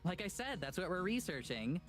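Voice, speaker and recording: A woman's voice: on the low side, very animated, brisk, soft background music. Casual, persistent young woman. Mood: curious, probing. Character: upbeat, persistent young woman investigator and companion. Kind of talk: visual novel voice acting.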